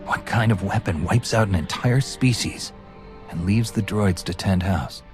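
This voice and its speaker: An actor playing a resolute, principled heroic commander in a video game, earnest and authoritative. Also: male voice, fairly low pitch, unhurried, faint music behind, close to an everyday voice. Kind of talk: cinematic game dialogue.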